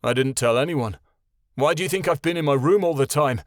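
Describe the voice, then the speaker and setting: A man's voice: on the high side, fairly quick, no room sound, clean studio sound, voice pushed up, natural voice. A man voicing a shy, resentful young warlock.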